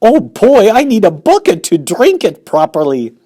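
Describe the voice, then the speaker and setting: A man voicing a greedy, loud boy in a storybook reading. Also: high voice, wildly swooping pitch, normal speed, pitched above the performer's own voice, exaggerated voice. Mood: greedy yelling. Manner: gobbling, then yelling.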